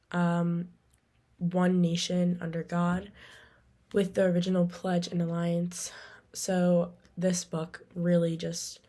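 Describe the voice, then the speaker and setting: Mellow, flat-toned woman presenting haltingly. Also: lowish voice. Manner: halting, with frequent ums and pauses. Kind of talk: semi-scripted informational presentation.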